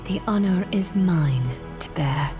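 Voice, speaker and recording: A woman's voice: on the low side, slow, over music. An actress playing a composed, authoritative female leader addressing a crowd formally. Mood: gracious. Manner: poised, commanding, formal.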